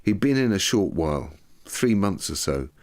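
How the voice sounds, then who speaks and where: A man's voice: low-pitched, sing-song, normal speed, darkish tone. A man voicing a reflective, plain-spoken man giving earnest personal testimony in an audiobook. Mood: sober, candid.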